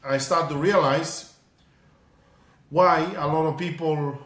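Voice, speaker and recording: A man's voice: on the high side, fairly even intonation, slow pace, a bit bright, roomy sound. A middle-aged man from Tonga, a guest telling his story in an interview, earnest and often repeating words as he looks for them. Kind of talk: casual interview.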